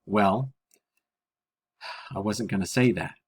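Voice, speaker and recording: A man's voice: on the low side, slow pace, slightly rough. A man voicing a stern but kindly older working woman in a storybook reading, with a folksy, blunt way of talking. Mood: frank, kindly. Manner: folksy, blunt, nonstandard grammar.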